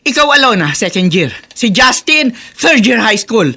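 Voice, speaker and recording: A woman acting a furious, sharp-tongued middle-aged mother, scolding emphatically, in a radio drama. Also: on the high side, lively intonation, a little slow, exaggerated voice. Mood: angry, scolding.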